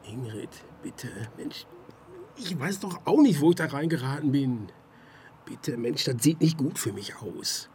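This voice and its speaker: A man acting in a German radio drama as a harried, evasive murder suspect, speaking in a halting, colloquial way. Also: mid-range voice, very animated, darkish tone, slight background noise. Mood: pleading. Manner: halting, colloquial, stammering and trailing off.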